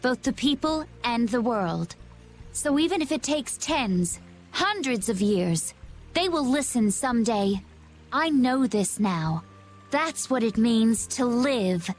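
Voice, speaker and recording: An actress playing a calm, wise woman in a fantasy video game, composed and deliberate. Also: on the high side, faint music behind. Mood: calm conviction. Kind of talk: dramatic video game voice acting.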